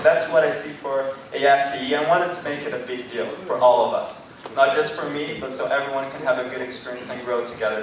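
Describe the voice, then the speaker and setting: A young man from Brazil with a Brazilian Portuguese accent, Portuguese his first language, giving a joking but earnest student campaign speech in a lecture room. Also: mid-range voice. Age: young adult. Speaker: student club candidate. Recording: echoey room, mic far off, noisy.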